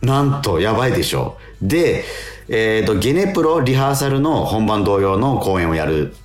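A man chats as a radio co-host. His intonation swings in an animated way as he tells stories politely, doubling back on words. The sound is roomy, with faint music behind. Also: fairly low pitch, moderate pace. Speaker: radio show co-host and stage actor. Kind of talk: radio talk, chatty storytelling.

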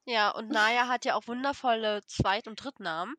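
A woman's voice: fairly high pitch, moderate pace, sharp tone, no room sound. A woman co-hosting a book podcast in casual chat, still sick with a cold, chatty and giggly. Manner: chatty, giggly, mixes in English words. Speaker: podcast co-host.

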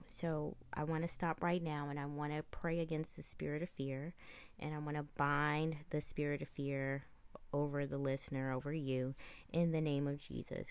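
A woman podcast host speaking in a deliberate, reassuring monologue of faith-based encouragement, with emphatic delivery. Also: deep voice, a little mellow, smooth, no room sound.

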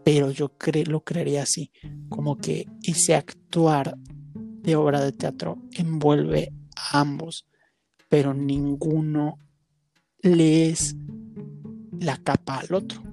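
A woman's voice: low voice, little pitch movement, slow, creaky, long pauses, warm tone, faint music behind. A woman in a casual, reflective conversation, thinking aloud and searching for her words. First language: Spanish. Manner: musing, hesitant, searching for words.

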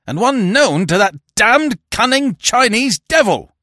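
A man reading aloud voices a hot-tempered older gentleman who roars and talks emphatically. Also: on the high side, wildly swooping pitch, moderate pace, no echo, studio quality, voice pushed up. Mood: roaring. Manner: loud, emphatic, roaring.

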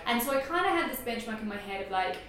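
A woman's voice: mid-range voice, lively intonation, quick pace, fairly bright tone. A woman with a New Zealand accent, a company founder chatting easygoingly as an interview guest and laughing easily. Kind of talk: casual interview. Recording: echoey room, voice far from mic.

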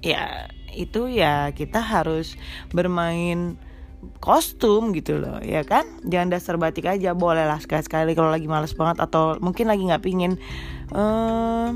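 A woman's voice: lowish voice, normal speed, soft background music. Chatty woman with a stuffy nose. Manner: chatty, teasing, coaxing. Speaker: podcast host. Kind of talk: casual chat to mothers, mixing in English. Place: small rented room.

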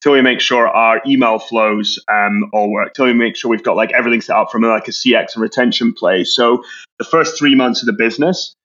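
A Scottish man, a brand co-founder, talking quickly in a casual business interview and often repeating words as he restarts. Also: mid-range voice, fairly bright tone, lo-fi sound. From Scotland.

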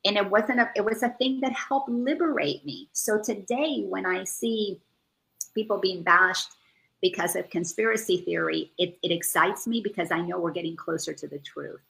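Woman, from the Dominican Republic, gravelly, bright and tense, earnest, repeats herself as she thinks, video call. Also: mid-range voice, normal speed, rough recording. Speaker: guest. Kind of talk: casual spiritual chat.

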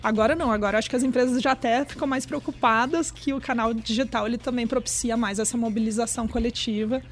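A woman's voice: on the high side, fairly even intonation, fast, a little hiss or hum. A middle-aged woman with a Brazilian accent, explaining things in a chatty, conversational way and often checking for agreement.

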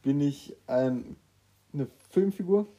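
A man's voice: fairly high pitch, slow pace, warm tone, rough recording. A man co-hosting a guessing game in casual banter, with dry, mock-formal answers. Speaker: co-host playing a guessing game.

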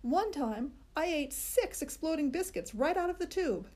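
A woman's voice: high voice, lively intonation, normal speed, a little mellow, pitched above the performer's own voice. A woman voicing a proud, goofy little schoolboy who brags in a matter-of-fact way. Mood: boastful.

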